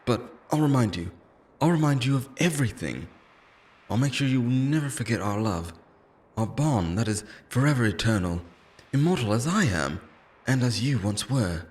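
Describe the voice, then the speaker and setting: A man's voice: medium pitch, animated, normal speed, darkish tone, lightly acted. A man playing an obsessive, lonely immortal lover in an intimate, theatrical roleplay monologue, pleading and possessive, moving between tenderness and menace. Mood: tender longing.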